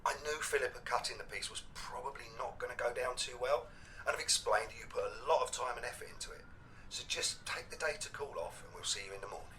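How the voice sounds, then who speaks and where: An actor playing a firm but kind male boss in a naturalistic audio drama, measured and reassuring. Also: medium pitch, noisy background, lightly acted. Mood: calm, reassuring.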